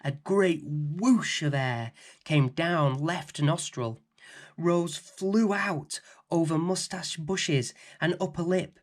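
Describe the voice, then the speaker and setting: A middle-aged man hosting and reading a children's bedtime story in a gentle, expressive way. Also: fairly high pitch, slow. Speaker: storybook reader and host.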